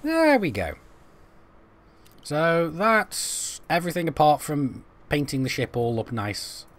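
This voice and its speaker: A man's voice: fairly high pitch, sing-song, takes it slowly, a bit bright. A young British man casually commentating a live game stream, rambling and joking at his own expense while chatting to viewers. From Britain. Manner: rambling, self-deprecating, chats to viewers while fiddling with menus.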